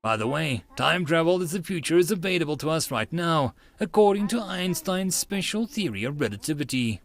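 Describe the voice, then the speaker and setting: A man voicing a gutsy, sharp-tongued eighteen-year-old genius girl who lectures assertively in an audiobook. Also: on the high side, lively intonation, fairly quick. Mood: lecturing.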